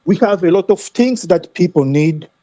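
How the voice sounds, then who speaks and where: High-pitched, animated Congolese man. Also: dry recording, muffled recording. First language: French. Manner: halting, repetitive, emphatic non-native English. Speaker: guest caller. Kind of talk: animated football talk.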